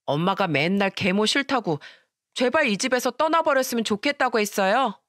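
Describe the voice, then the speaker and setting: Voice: medium pitch, lively intonation, normal speed, fairly bright tone, no echo, studio quality, lightly acted. A narrator voicing an innocent seven-year-old boy telling tales to his grandmother. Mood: innocent, chatty.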